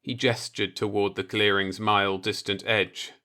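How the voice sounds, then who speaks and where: A man's voice: on the low side, level tone, normal speed, bright, forceful tone, clean tone. A man reading a scripted science fiction story aloud as an audiobook narrator.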